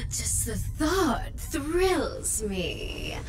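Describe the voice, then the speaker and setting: A woman's voice: high voice, big pitch swings, very slow, full character voice. A woman playing a sadistic, seductive monster in a video game cutscene, gloating theatrically. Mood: gloating, cruel. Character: sadistic, seductive female monster disguised as a loved one. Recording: loud noisy ambience behind voice. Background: loud noise and ambience.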